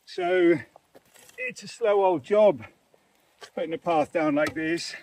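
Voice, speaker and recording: A man's voice: a little high, takes it slowly, darkish tone, far from the mic. A middle-aged man chatting casually and encouragingly while he works outdoors in a garden, picked up by a camera a few metres away. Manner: patient, encouraging. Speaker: father doing garden work, vlogger. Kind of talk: casual chat while working. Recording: outdoor noise, off-mic, handling clicks.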